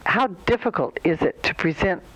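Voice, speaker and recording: An older woman hosting a radio interview, asking questions in a clear, deliberate way. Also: fairly low pitch, lively intonation, poor audio. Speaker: host.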